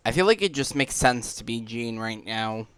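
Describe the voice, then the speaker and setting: A man's voice: medium pitch, animated, moderate pace, a bit bright, clear, resonant tone, dry recording. A man giving casual gameplay commentary as he plays. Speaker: gaming streamer, player. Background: game music.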